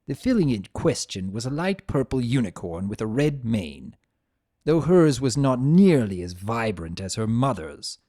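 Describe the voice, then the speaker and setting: A man narrating a story as an expressive, theatrical dramatic reading. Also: mid-range voice, unhurried, no echo, clean studio sound. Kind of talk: dramatic fan fiction reading.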